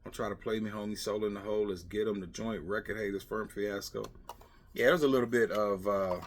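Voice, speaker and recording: A man's voice: lowish voice, steady tone, a little fast. A middle-aged man chatting casually on a vlog, muttering asides in a rambling way as he sorts through things. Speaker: vlog host. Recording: clear background noise with clicking, clattering.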